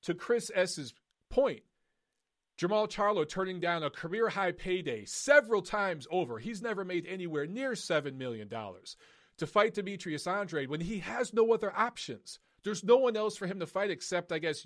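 Man, boxing podcast host, hoarse voice, emphatic, opinionated, slightly high pitch. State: losing his voice. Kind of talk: live call-in podcast talk.

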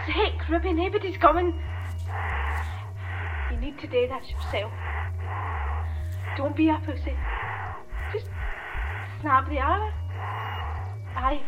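A wounded, frightened young woman in a horror radio drama. Her high voice moves slowly as she bluntly goads herself on alone. Clear noise surrounds her. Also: highs cut off. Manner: blunt, self-goading. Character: injured, frightened young woman gritting herself through pain. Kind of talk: horror radio play acting, solitary self-talk.

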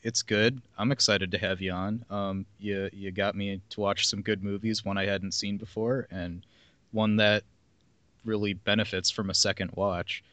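A man's voice: on the low side, flat delivery, moderate pace, bright, forceful tone, no echo, muffled recording. A man hosting a podcast, chatting in an informal, agreeable, slightly rambling way. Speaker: podcast host. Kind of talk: casual chat.

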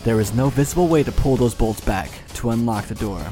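A man narrating a tabletop game as game master, steady and descriptive with casual asides. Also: mid-range voice, a little fast, over music.

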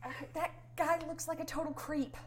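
A woman's voice: high voice, fairly even intonation, moderate pace, heavy background noise. A woman playing a tabletop role-playing game, chatty and giggly. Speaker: player. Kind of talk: tabletop role-play chat.

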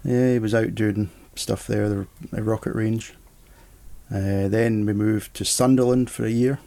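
Middle-aged man, guest in a casual chat, gravelly and mellow, rambles easily. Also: on the low side, steady tone, unhurried. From Scotland. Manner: easygoing, rambling storyteller. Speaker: interview guest. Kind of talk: casual conversation.

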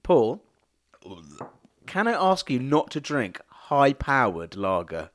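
A man's voice: medium pitch, big pitch swings, slow pace, a bit bright. A middle-aged man co-hosting a bawdy podcast, dry, mock-prim and incredulous. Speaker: podcast co-host. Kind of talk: bawdy casual chat.

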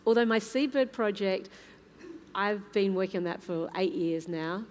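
A woman's voice: medium pitch, normal speed. A woman moderating a live panel, speaking unscripted in an earnest, conversational way. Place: large indoor hall at a live museum event. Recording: hall reverb, noisy live event.

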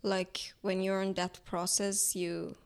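A young adult woman from Iran, Turkish her first language, speaking English with an Iranian accent in a thoughtful, halting way as an artist in an interview. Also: mid-range voice, flat delivery, gravelly, clear, resonant tone. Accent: Iranian, speaking English as a later language. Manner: thoughtful, halting, searching for words. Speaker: artist guest. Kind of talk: reflective interview conversation.

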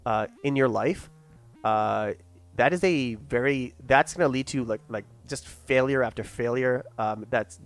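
A man's voice: mid-range voice, unhurried, faint music behind. A young man chatting casually on a podcast, often stammering and restarting and agreeing with "yeah".

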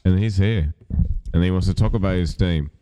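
A man's voice: low voice, moderate pace, warm tone, dry recording. A man from Australia co-hosting a comedy podcast, rambling through jokes and giggling. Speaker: podcast co-host. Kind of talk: casual comedic banter.

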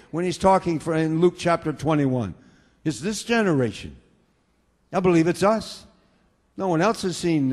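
A man from the United States preaching a sermon, swinging between quiet talk and loud bursts of fervor with repeated hallelujahs. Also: fairly high pitch, in a large hall. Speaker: pastor.

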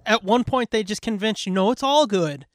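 Male podcast co-host, high voice with big swings, close dry sound, casual and sweary fan chatter. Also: normal speed, no room sound. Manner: profane, animated fan talk. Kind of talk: casual pop-culture chat.

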